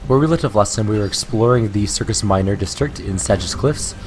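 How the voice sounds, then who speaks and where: A hoarse man with a low voice chats casually as he hosts a game playthrough, still recovering from bronchitis. Faint game music plays under his voice. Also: fairly quick. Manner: relaxed, chatty. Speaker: let's play host. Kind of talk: casual gameplay commentary and reading.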